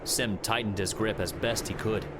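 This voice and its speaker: A man's voice: lowish voice, fairly even intonation, moderate pace, noisy background. A man reading a scripted adventure audiobook as a dramatic narrator.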